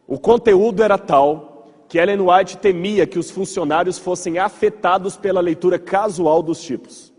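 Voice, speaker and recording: A man's voice: sits high, normal speed, darkish tone, slightly rough. A man with a Brazilian Portuguese accent, a pastor giving an informal storytelling lecture to a congregation, conversational, repeating words for emphasis and checking in with listeners. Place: large hall with audience. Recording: reverberant hall, slightly muffled voice.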